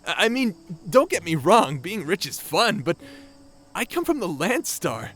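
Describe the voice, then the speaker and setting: Man playing a high-pitched, animated, awkward young space lord. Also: unhurried, exaggerated voice. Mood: wry, wistful. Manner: hesitant, stammering, wry. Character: awkward, sympathetic young male lord revived from century-long stasis. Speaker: voice actor in an audio roleplay. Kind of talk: conversational audio drama acting.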